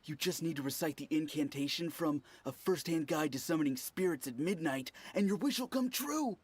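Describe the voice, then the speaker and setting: An eager young townsperson in a video game. The voice is mid-pitched and husky. The character sounds curious and easily impressed. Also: animated, fairly quick, darkish tone.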